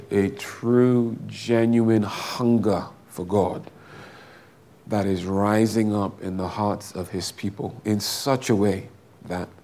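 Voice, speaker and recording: A man with a big booming voice preaching a sermon and praying fervently, drawing out his phrases and repeating them for emphasis. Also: dark tone. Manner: slow, drawn-out, fervent delivery with repeated phrases. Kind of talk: sermon with prayer.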